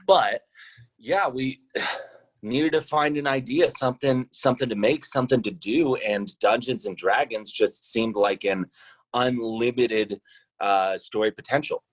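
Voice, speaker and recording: A man speaking as a podcast guest, thinking aloud deliberately in a conversational interview. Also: mid-range voice, no echo, rough recording. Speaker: podcast guest, founder of a role-playing podcast.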